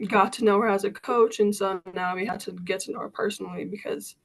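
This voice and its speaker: A young woman in her late teens, a high school basketball player, telling her story as a guest over a video call with a relaxed, filler-filled way of talking. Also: fairly quick, gravelly, poor audio. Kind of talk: casual interview answers.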